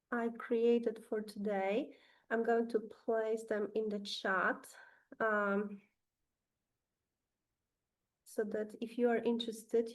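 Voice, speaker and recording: A woman with a Polish accent, whose first language is Polish, giving an online webinar presentation over a video call, pausing often with ums and uhs. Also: on the high side. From Poland. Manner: hesitant, many ums and uhs.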